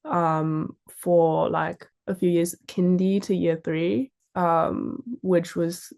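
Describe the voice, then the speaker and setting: A woman's voice: on the low side, little pitch movement, slow, vocal fry, darkish tone. A young woman with an Australian accent, chatting casually as an interview guest and telling stories in an informal way. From Sydney, Australia. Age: young adult. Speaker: fashion model, interview guest.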